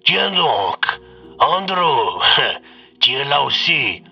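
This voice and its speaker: Man playing a slow gravelly villain over radio. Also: medium pitch, big pitch swings, highs cut off, voice pushed up, heavily acted. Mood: smug, amused. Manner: slow, theatrical, taunting. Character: mocking, sadistic male villain, falsely friendly. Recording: band-limited radio sound with music.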